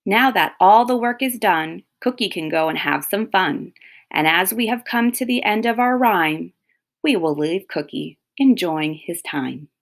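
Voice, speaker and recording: A woman reading a children's picture book aloud expressively, pausing to comment and explain to young listeners. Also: medium pitch, animated, vocal fry.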